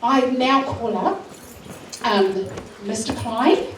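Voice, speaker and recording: A woman with a New Zealand accent speaks to a school assembly. She gives heartfelt thanks with plenty of fillers, her voice a little high. She is recorded from far off in a very echoey hall, with clear room noise behind her. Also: very animated, takes it slowly. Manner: warm, emotional thanks with fillers. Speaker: school culture week organiser. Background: hall ambience and noise.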